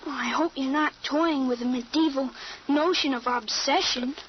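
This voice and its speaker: High-voiced, self-assured boy played by a woman, old radio drama. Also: normal speed, lightly acted. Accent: American. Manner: articulate, matter-of-fact, adult vocabulary. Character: thirteen-year-old boy with a grown man's mind, precise, self-assured. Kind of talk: 1940s-50s radio drama acting. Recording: muffled, noisy old radio recording.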